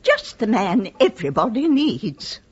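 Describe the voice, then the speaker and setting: Husky, slow-spoken genteel British old lady. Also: mid-range voice, big pitch swings. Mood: polite, persuasive. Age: older. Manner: courteous, polite formal address. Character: genteel, chatty 84-year-old widow, vain and spirited. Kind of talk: radio play acting. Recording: dull, muffled radio play recording.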